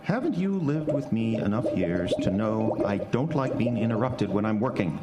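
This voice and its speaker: An actor playing a selfish, irritable middle-aged scientist husband in old-time radio horror style, impatient and scornful. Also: male voice, lowish voice, expressive, moderate pace, music in the background, lightly acted. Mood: angry, impatient. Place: live stage before an audience. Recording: noisy live stage recording.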